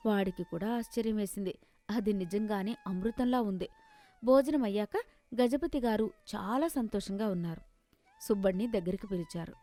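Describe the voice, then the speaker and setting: A woman's voice: medium pitch, moderate pace, mellow. A woman narrating a scripted moral story as a storyteller.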